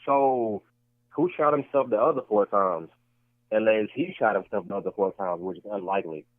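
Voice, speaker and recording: A man's voice: on the low side, normal speed, dry recording. A man co-hosting a casual podcast who argues his point and keeps repeating himself. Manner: repetitive, argumentative, restarts points. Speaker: podcast co-host. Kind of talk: casual debate chat. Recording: tinny lo-fi podcast audio.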